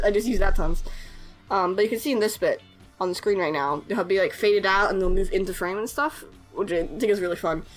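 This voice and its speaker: A young woman chatting casually in a voice-over while she edits, hesitant, with lots of fillers and self-conscious little laughs. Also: medium pitch. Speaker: artist and animator YouTuber. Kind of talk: casual voice-over over editing footage.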